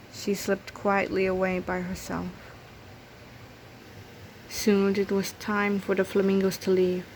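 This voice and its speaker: A woman reading a children's picture book aloud in an expressive storytelling manner. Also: medium pitch, slight background noise, poor audio. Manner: expressive storytelling with acted character voices. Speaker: storybook reader.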